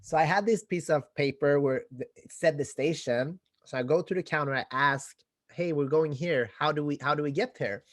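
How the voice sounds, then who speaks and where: A man hosting a podcast interview, asking questions and telling stories in a halting way with repeated words. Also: a little high, fairly bright tone, dry recording, clean studio sound. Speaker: podcast host. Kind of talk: casual interview conversation.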